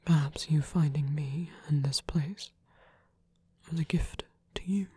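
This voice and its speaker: A performer voicing a gentle, protective vampire priest in a soft, husky whisper, tender and hesitant, as intimate ASMR roleplay. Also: medium pitch, fairly even intonation, measured pace, no room sound. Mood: devoted, soft.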